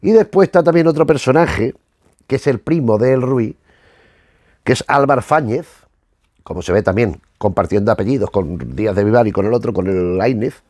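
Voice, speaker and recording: A man's voice: mid-range voice, lively intonation, normal speed, darkish tone, dry recording, clean studio sound. A middle-aged man with a Spanish accent from Spain giving casual commentary in a digressive way, often repeating and rephrasing himself as he goes. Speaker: host of a retro television review.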